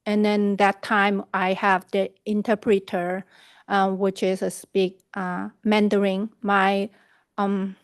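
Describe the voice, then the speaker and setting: Halting gravelly woman, Cantonese native. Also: mid-range voice, flat delivery, no room sound, clean studio sound. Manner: halting, searching for words. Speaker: witness. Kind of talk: court testimony. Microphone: video call.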